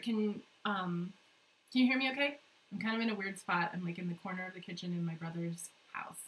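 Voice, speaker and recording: An American woman in her thirties with a cold, hosting a casual livestream in an informal, halting way. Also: on the low side, slight background noise, rough recording. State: sniffly with a cold. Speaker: livestream host, national director of an advocacy group. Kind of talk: casual livestream chat to viewers. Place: corner of a kitchen in a house, indoors.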